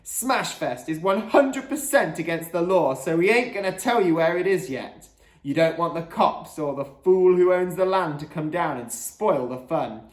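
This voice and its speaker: A storybook reader voicing a gruff, brash male DJ on an illegal metal radio station, with a gravelly voice and slangy hype. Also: mid-range voice, expressive, normal speed, reverberant, voice pushed up, natural voice. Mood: rowdy hype.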